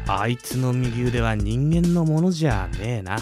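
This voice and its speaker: A man voicing a rough-talking middle-aged male weapon shopkeeper who is kind underneath, in a radio drama. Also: medium pitch, expressive, moderate pace, darkish tone, faint music behind, lightly acted. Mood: gruff. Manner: rough, clipped speech.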